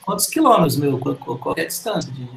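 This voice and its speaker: A man's voice: on the high side, big pitch swings, fast talker, vocal fry, a crowd in the background. A man hosting a casual interview over a call, jokey and easily amused. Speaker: interview host. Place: remote call. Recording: roomy with faint background noise.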